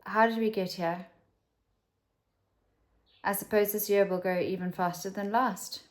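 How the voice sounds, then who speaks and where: A woman's voice: medium pitch, steady tone, measured pace. A South African woman in her fifties talking candidly to camera at home, rambling and dropping to a whisper for asides. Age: 50s. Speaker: vlogger. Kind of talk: casual talk to camera.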